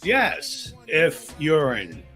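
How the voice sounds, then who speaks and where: A man's voice: on the high side, huge pitch swings, extremely slow, bright, forceful tone, soft background music. A man co-hosting a casual wrestling podcast, making dry, teasing quips. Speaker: podcast co-host. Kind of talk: casual podcast chat with a read plug.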